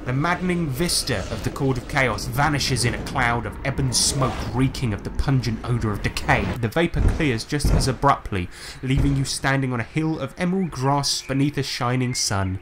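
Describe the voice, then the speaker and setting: A man working as game master, narrating a tabletop game with measured, descriptive storytelling. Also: medium pitch, background noise.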